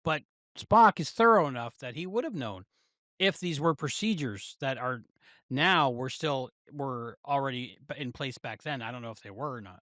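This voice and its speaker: A man's voice: medium pitch, lively intonation, moderate pace, a bit bright, no echo, studio quality. A man co-hosting a podcast, talking casually as he discusses and reviews a show. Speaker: podcast co-host.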